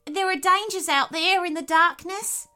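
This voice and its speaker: A woman's voice: high-pitched, animated, a little slow, pushed and bright, soft background music, voice pushed up, exaggerated voice. A woman voicing a nervous little male potoroo, fretful and whimpering, in a children's story.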